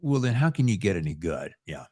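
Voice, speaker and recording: Male host, slightly deep, lively pitch swings, folksy, jokey, chatty. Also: a little fast, slightly rough. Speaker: podcast host. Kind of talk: casual, chatty hosting.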